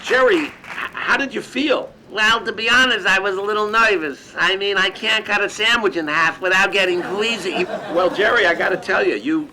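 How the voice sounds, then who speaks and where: High-pitched joking showbiz funnyman played by a man. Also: moderate pace, pushed and bright, some chatter behind, a little hiss or hum, full character voice, low-quality recording. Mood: showbiz banter. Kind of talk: mock archival TV interview comedy.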